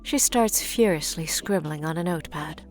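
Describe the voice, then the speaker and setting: A woman's voice: low voice, normal speed, soft background music, close to an everyday voice. A woman giving scripted narration for a gritty superhero audio drama as its storyteller. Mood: measured.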